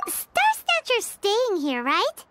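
A woman voicing a tiny talking fairy girl, childlike, bubbly and excitable, in a video game. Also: slow pace, heavy background noise, exaggerated voice. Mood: chatty. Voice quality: squeaky, childlike. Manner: bubbly, refers to herself by name.

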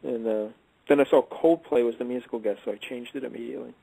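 A fast-talking man hosts a casual radio chat, briskly moving things along with question after question. The sound is dry and close. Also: medium pitch, steady tone, vocal fry, mellow, husky, dry recording, low-quality recording. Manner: brisk, steers the talk with questions. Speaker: host.

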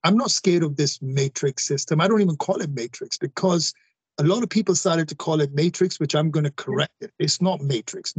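Forceful husky-voiced man in his forties. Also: on the high side, dry recording. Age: 40s. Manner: forceful, repeats phrases, addresses listeners directly. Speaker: guest. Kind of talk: emphatic interview talk. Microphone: video call.

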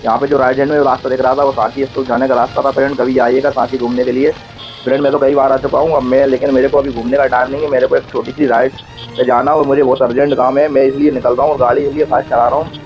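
Man, central Indian accent, rapid chatty motovlog commentary, fairly even intonation, repetitive, friendly address to viewers. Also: mid-range voice, highs cut off, faint music behind. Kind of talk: casual running vlog commentary while riding. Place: outdoors on a motorbike on a road.

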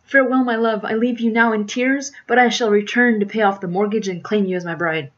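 A woman's voice: on the high side, fairly even intonation, a little fast, muffled recording, voice pushed up, close to an everyday voice. A woman voicing an earnest young male melodrama hero, florid and self-important, declaiming with grand romance in a storybook reading. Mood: heroic, defiant.

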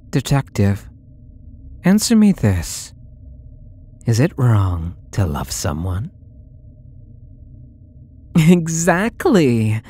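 A man's voice: mid-range voice, mellow. A young man playing a possessive, lovesick stalker under interrogation in an ASMR audio roleplay, coy, pleading and theatrical, drawing out his words. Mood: coy, pouting, then coy, defensive. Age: young adult.

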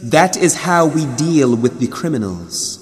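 A man solemnly reading translated scripture aloud in measured, deliberate phrases. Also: on the high side, big pitch swings. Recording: long cavernous studio reverb.

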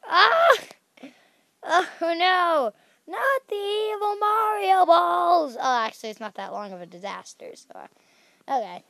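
A woman's voice: very high voice, big pitch swings, measured pace, gravelly, pushed and bright, no echo. A young woman hosting a game channel, chatting casually as she plays and squealing at danger, with a slightly stuffy nose. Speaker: gaming channel host.

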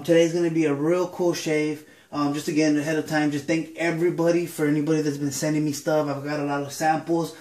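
A man's voice: a little high, reverberant. A man in his thirties with a stuffy nose, chatting casually and rambling with lots of ums while talking to the camera in a small home bathroom. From Southern California. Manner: relaxed, rambling with many ums. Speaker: shaving vlogger. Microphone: camera on a counter facing a mirror.

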